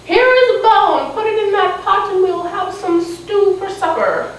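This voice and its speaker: Woman doing a high, sing-song little old lady. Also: expressive, heavy reverb, recorded from a distance, a little hiss or hum, low-quality recording. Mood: kindly instructing. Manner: sing-song, drawn-out, doting. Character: kindly little old lady, sweet mysterious woodland stranger.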